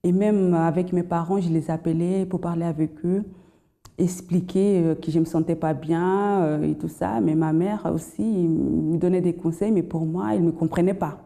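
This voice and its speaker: A young woman tells her story in a hesitant, faltering way, with many pauses and restarts. Her voice is deep and mellow and her slight foreign accent shows she speaks a second language. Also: steady tone, creaky. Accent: slight foreign accent in French. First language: not French. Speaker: testimony guest. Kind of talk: personal testimony.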